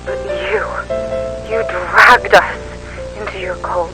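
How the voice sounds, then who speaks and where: A woman playing a grieving, vengeful woman in a dark amateur audio drama, solemn and accusing in her delivery. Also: mid-range voice, steady tone, takes it slowly, over music, natural voice, low-quality recording. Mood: bitter grief.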